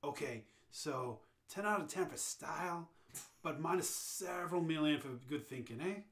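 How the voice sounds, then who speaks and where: A man's voice: a little high, expressive, moderate pace, a bit bright. A man playing a brash, impatient American party-dude president of space, breezy, incredulous and bossy.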